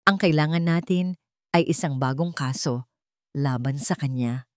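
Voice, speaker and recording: A woman storyteller voicing a determined, guarded businesswoman in a romantic drama, measured and earnest. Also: deep voice, a little mellow, no echo, studio quality. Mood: determined, plotting.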